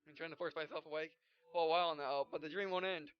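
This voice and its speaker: A man's voice: on the high side, fairly even intonation, fast talker, clean tone. A man doing a let's play, reading game dialogue aloud in a rambling, stumbling way with filler syllables and asides. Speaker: gaming video host. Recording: muffled lo-fi mic, music behind. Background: game music.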